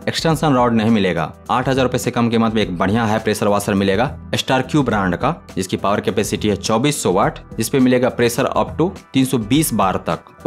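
A man narrating a scripted product review voice-over in a steady, explanatory manner, addressing viewers as friends. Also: on the low side. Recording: voice-over with loud background music.

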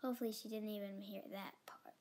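A woman's voice: mid-range voice, steady tone, fast talker, a little mellow. A young girl improvising a doll roleplay at home, whiny and dramatic, often dropping to a whisper. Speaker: doll video creator voicing the lead character. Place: carpeted bedroom floor.

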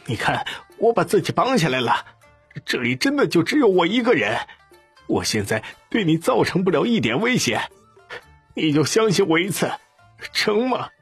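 Male audiobook role: anxious, servile village boss acted by a man, high-pitched and very raspy, pleading. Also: big pitch swings, moderate pace, faint music behind, heavily acted. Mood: desperate pleading. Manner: agitated, complaining, ingratiating. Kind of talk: audiobook character dialogue.

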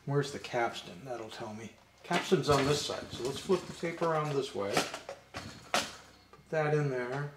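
Unhurried older man in an echoey room. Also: mid-range voice. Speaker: vintage robot channel host. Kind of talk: casual hands-on demonstration, thinking aloud. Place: indoor room with glass display table. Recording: echoey room, clear noise, handling clicks.

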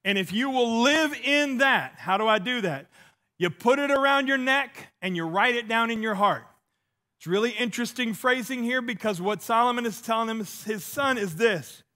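A man's voice: extremely high pitch, animated, normal speed, sharp tone. A middle-aged man preaching a sermon on a large church stage, telling self-deprecating stories and pacing as he gestures. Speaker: pastor.

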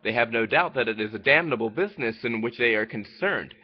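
A man's voice: medium pitch, animated, fairly quick, muffled recording. A man in his twenties reading an old essay aloud in a small room, stumbling and restarting words as he goes. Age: 20s. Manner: stumbling, repeating words and restarting mid-word. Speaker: vlogger. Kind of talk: halting read-aloud under a speech jammer. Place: small room by a window, indoors.

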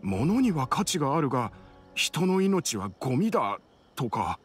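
A man's voice: fairly high pitch, big pitch swings, a little slow, husky, heavily acted. A man playing a frightened, cautious villager in an anime video game, explaining things earnestly. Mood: worried. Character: frightened young male villager, robbed and cautious. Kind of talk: Japanese anime game voice acting. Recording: background music under voice.

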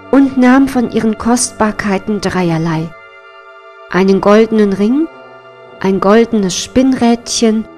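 Woman, fairy-tale narrator, unhurried slow delivery, faint music behind. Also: mid-range voice, a little mellow. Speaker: storyteller.

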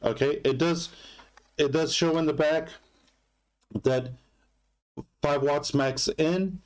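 A man giving a casual product demonstration as a reviewer, speaking haltingly in short phrases. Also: fairly high pitch, steady tone.

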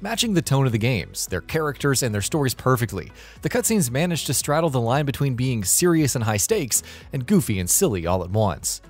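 A man delivers polished, scripted narration for a gaming list show. His pitch moves in lively swings as he stresses key words, and faint music sits behind him. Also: fairly quick, few pauses.

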